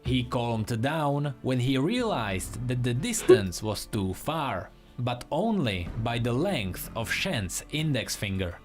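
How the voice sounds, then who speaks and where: Slow, expressive male story narrator. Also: mid-range voice, soft background music. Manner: measured, theatrical, acts out dialogue. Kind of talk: scripted dramatic narration.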